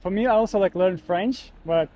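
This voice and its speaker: A man interviewing strangers on the street, friendly and quick to laugh, with loose phrasing. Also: high voice, dark tone, dull audio, a little hiss or hum. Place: outdoors on a street.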